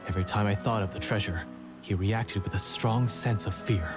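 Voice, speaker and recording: A man's voice: lowish voice, normal speed, natural voice. An actor playing an earnest, repentant young man who speaks sincerely and formally, with game music behind. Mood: serious.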